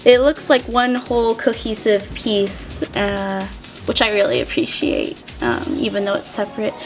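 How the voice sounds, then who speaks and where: A woman's voice: medium pitch, normal speed. A woman co-hosting a casual, unscripted review, describing things in a gushing way. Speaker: co-host of a toy review. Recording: music behind the voice.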